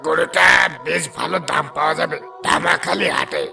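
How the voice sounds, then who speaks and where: A man playing a greedy, scheming cattle thief in a cartoon, with a gravelly, creaky voice. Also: fairly high pitch, exaggerated voice. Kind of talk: Bengali cartoon villain acting.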